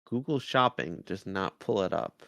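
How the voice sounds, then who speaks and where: A man's voice: deep voice, expressive, moderate pace, sharp tone, no echo. A man co-hosting a review podcast in a casual, conversational style. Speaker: podcast host.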